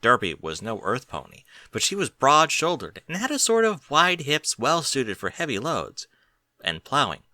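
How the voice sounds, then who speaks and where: A man's voice: medium pitch, huge pitch swings, normal speed, sharp tone, no room sound, studio quality. A man reading a story aloud as scripted narration. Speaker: audiobook reader of fan fiction.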